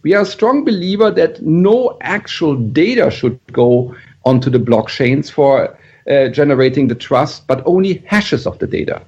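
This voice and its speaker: A man's voice: a little high, expressive, moderate pace, darkish tone, slightly rough, lo-fi sound. A man with a German accent, a startup founder explaining his work in a podcast interview, earnest and full of restarts. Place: San Mateo, California.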